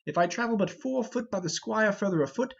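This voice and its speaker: Brief: a man as fat old rogue knight, exclamatory and complaining, very animated delivery, Shakespearean stage reading, dull lo-fi recording. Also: high voice, a little fast, gravelly, full character voice. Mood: grumbling. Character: blustering, grumbling, fat older thief and knight.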